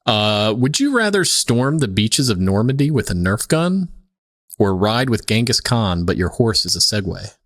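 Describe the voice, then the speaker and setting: A man co-hosting a podcast with casual, comedic chat, rambling and halting as he tells stories. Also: lowish voice, big pitch swings, fairly bright tone, no echo, clean studio sound. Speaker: podcast co-host.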